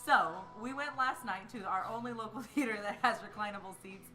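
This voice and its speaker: A woman's voice: medium pitch, brisk, sharp tone. A tipsy woman co-hosting a movie show in giggly, chatty, casual banter with some swearing. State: tipsy from beer.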